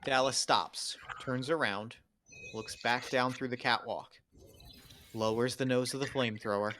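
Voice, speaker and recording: A man's voice: medium pitch, fairly even intonation, measured pace, a bit bright, slight background noise. A man narrating screenplay action lines at a table read in short scripted statements.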